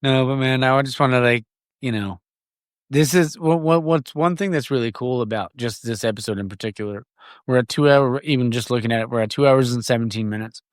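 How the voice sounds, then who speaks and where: A man hosting a podcast in casual conversation, loose, profane and quick to laugh. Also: mid-range voice, clear, resonant tone, no room sound, studio quality. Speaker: podcast host.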